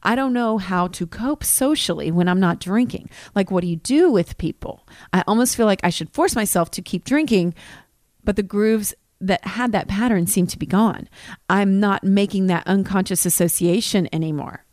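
Podcast host, woman in her forties, frank confessional delivery, medium pitch, dead-dry booth sound. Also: normal speed, darkish tone, no echo. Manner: candid, confessional, emphatic. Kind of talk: personal monologue.

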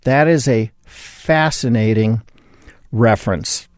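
A man hosting a religious radio segment, explaining scripture in a measured, explanatory way. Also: medium pitch, no room sound, clean studio sound. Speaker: radio show host.

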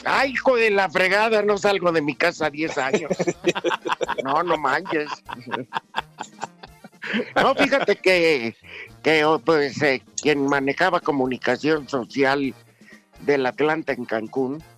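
A man hosting a sports talk show with a mock-gloomy, teasing delivery. He speaks very slowly in a slightly high voice, with soft music underneath. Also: lively intonation.

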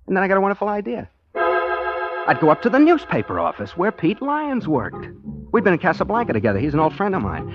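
An actor playing an earnest, daydreaming rookie private detective, boyish and wryly self-deprecating, in 1940s radio style. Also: male voice, a little high. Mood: wry, hopeful. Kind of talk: 1940s radio acting with first-person narration. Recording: dull vintage 1940s radio, music behind.

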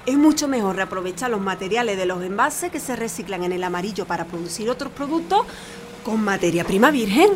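A woman's voice: medium pitch, lively intonation, fairly quick, background noise, close to an everyday voice. An actress playing a friendly, talkative, civic-minded married woman with an Andalusian accent in an audio drama, effusive and homely. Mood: earnest. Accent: Andalusian Spanish. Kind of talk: audio fiction acting.

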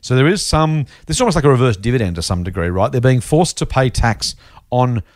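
Male podcast host, Australian accent. Quick, animated, chatty and matey, with a close dry sound. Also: mid-range voice, no echo. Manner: chatty, addresses others as mate. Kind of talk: casual listener mailbag discussion.

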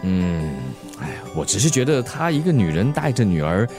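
Evasive Singaporean guy, lively pitch swings, bashful, defensive, casual radio drama, clear guitar music behind. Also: moderate pace. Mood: concerned, evasive. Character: evasive man, bashfully denying his soft spot. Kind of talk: radio drama acting, conversational.

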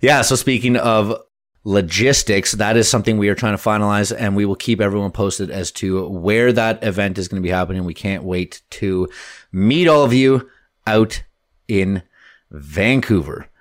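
A man from Canada who works as a mortgage broker and co-hosts a real estate podcast. He talks in an easygoing, casual way with a touch of humor. His voice sits on the low side, recorded close and dry with no room sound. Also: normal speed, no room sound.

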